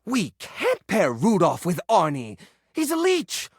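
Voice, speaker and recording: Fussy sentimental junk drawer game character, really swingy pitch, close dry sound. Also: male voice, takes it slowly, breathy edge, no echo, exaggerated voice. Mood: dismayed, protective. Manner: expressive, earnest, fussy musing. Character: quirky, sentimental male junk drawer personified, fussy hoarder. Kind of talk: video game character acting.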